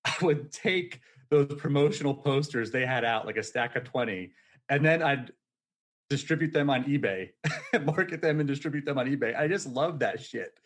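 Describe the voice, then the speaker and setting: A man's voice: medium pitch, expressive, normal speed. A forty-year-old man being interviewed on a podcast, telling his story casually and energetically and laughing easily. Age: 40s. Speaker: podcast guest.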